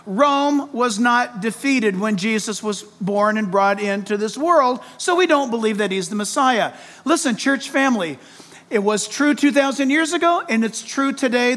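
A man with a very high-pitched voice delivers a sermon as a pastor would. He explains point by point in an emphatic yet conversational way, addressing his listeners directly and putting questions to them. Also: fairly bright tone. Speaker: pastor preaching to congregation. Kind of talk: expository sermon.

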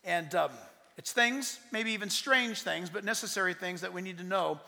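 A man in the pulpit, preaching with fervent conviction and a very high, bright, cutting voice. He swings from shouted declarations to hushed, intimate asides. The sound carries a long reverb, as in a large hall. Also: moderate pace, vocal fry. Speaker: pastor. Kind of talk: sermon.

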